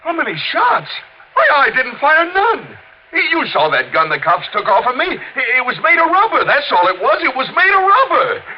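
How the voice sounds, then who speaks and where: An actor playing a cornered, defiant American small-time crook, stammering slangy protests in 1950s radio drama style. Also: male voice, very high voice, huge pitch swings, normal speed, exaggerated voice. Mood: indignant, protesting. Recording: dull, noisy 1950s radio recording.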